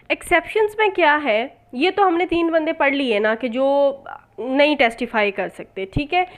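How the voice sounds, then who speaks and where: Female law tutor, Pakistani accent, notably high voice, didactic delivery that restates each point and drops in English terms. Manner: didactic, repeats and rephrases points with frequent check-ins. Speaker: law lecturer. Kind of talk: explanatory lecture mixing in English terms.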